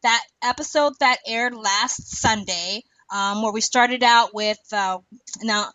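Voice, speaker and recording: A woman's voice: on the high side, slow pace, pushed and bright, dry recording, poor audio. A woman hosting a podcast, chatting casually about a TV series in an informal, opinionated way. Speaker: podcast host.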